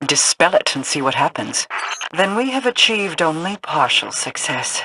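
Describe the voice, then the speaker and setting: A woman voicing a cold, commanding queen in a video game, speaking in a measured, formal, imperious way. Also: deep voice, big pitch swings, noisy background. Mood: cool explaining.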